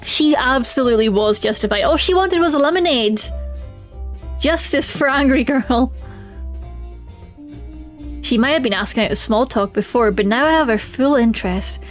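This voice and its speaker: A woman's voice: high-pitched, animated, normal speed, pauses often. A woman streaming a game, chatting expressively and reading the story text aloud. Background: faint music.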